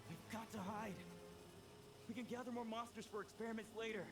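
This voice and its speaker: A man's voice: sits high, fairly even intonation, close to an everyday voice. A man playing a fretful young accomplice. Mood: anxious. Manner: fretful rapid questions. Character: nervous young male accomplice, anxious and hesitant. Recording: game audio with background noise.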